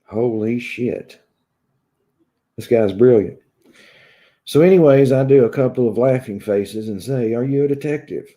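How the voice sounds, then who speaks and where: A man's voice: medium pitch, measured pace, pauses often, mellow. A man in his thirties with a Southern Kentucky drawl, chatting casually to his viewers as a vlogger. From Kentucky, United States. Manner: drawling, conversational. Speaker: YouTube vlogger. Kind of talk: casual chat to viewers, venting. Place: small room at home, indoors.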